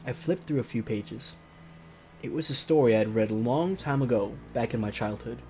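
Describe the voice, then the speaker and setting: A man's voice: medium pitch, moderate pace, mellow, a little hiss or hum. A man hosting a let's play, reading a visual novel aloud theatrically and breaking off into mocking, exclaimed asides. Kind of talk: narrated game let's play with joking asides.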